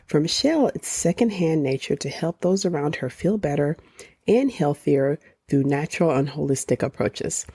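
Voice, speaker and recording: A woman hosting a podcast, reading a slow, deliberate introduction before her interview. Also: low-pitched, expressive, warm tone, husky, no room sound. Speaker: podcast host. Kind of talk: scripted intro, then interview questions.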